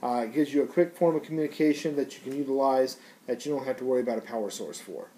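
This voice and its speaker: Casual rambling man with a mellow voice. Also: medium pitch, fast, slight background noise. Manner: rambling, conversational, slangy. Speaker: product reviewer. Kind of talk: casual unscripted product review.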